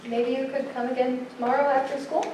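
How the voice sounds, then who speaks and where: Lady playing a timid schoolgirl in a kids musical, really high voice, unsure, far from the mic with music behind. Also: level tone, fairly quick, noisy background, lightly acted. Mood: shy. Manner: hesitant, unsure. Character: shy schoolgirl with a tail and a branch hand. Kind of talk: staged reading of a children's musical. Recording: distant mic in echoey hall, music behind.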